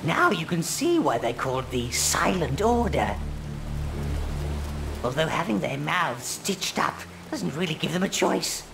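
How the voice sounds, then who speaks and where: A man playing an old, fawning and gleeful goblin advisor in a video game, theatrical and servile, with a gravelly voice. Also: a little high, big pitch swings, measured pace, full character voice. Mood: advising, wry. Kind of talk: video game character narration. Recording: loud music behind.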